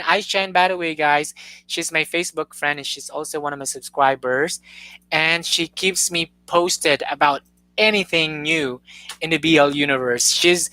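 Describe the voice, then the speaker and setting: A young woman chats on her live stream in an earnest, gushing way, often stopping to restart a sentence. Her voice sits a little low but sounds bright and forward. The sound is dry and close. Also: no echo, rough recording. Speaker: YouTube host. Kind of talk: casual live-stream chat.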